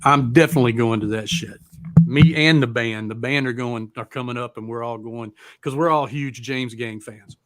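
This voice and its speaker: A man hosting a casual live music chat, talking in an excitable, slangy way with plenty of swearing. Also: medium pitch, normal speed. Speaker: music show host and band member.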